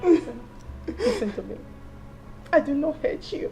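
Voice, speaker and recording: Middle-aged Nigerian mother-in-law for screen melodrama: high voice, very animated, domineering and emotional, repeats her demands. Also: moderate pace, gravelly, full character voice. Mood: tearful, tender. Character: volatile, domineering mother-in-law, torn between scolding and tenderness. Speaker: actress. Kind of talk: melodramatic film drama acting. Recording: music bed under dialogue.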